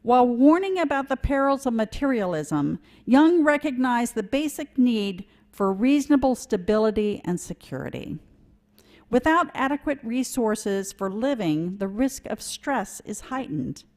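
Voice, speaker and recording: A woman giving a sermon in a church, earnest and measured, with a touch of self-deprecating humor. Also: a little high, animated, a little mellow. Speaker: lay preacher. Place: church sanctuary.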